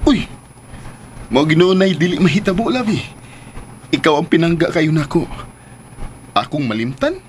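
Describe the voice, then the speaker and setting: An actor playing a devoted, ambitious sweetheart with big dreams in a radio drama. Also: male voice, a little high, animated, normal speed, long pauses, slight background noise, close to an everyday voice. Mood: tender, reassuring. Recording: music behind the voice.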